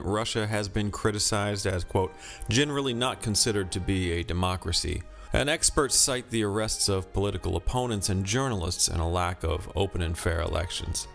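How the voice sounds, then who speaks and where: A man with a low voice works as a news interviewer. He speaks formally and politely and keeps pressing his point. Faint music sits behind him. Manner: formal, polite but persistent. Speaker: host and interviewer. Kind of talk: mock news interview, scripted narration and questioning.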